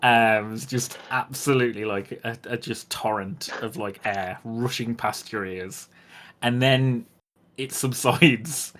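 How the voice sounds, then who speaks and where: A man acting as game master, improvising narration for a tabletop roleplay game in a halting, amused way. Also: medium pitch, fairly bright tone.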